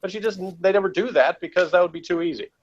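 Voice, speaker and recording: A man's voice: high-pitched, fast, muffled recording. A man chatting casually as he reviews a TV show, with a blunt, opinionated manner. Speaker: podcast reviewer.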